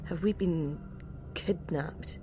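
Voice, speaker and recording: A woman's voice: low voice, slow pace, dark tone, faint music behind. An actress playing a confused woman waking in a strange pod, asking anxious questions. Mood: frightened. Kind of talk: audio drama acting.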